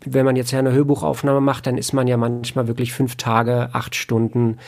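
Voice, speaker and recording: A man talking casually as an interview guest, explaining his work in a reflective, halting way with repeated words. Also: mid-range voice, completely flat delivery, creaky, darkish tone. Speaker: podcast guest, audiobook editor and audio drama producer.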